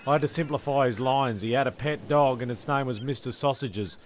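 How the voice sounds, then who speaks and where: A man's voice: medium pitch, a little fast, slight background noise. A man narrating a comic audio play and speaking to its characters.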